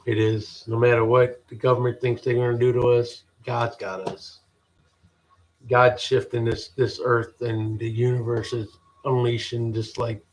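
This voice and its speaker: A deep-voiced man from the United States hosting a podcast, giving a halting, rambling spiritual pep talk full of restarts. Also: poor audio. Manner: halting, restarts often, repeats "people". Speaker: podcast host.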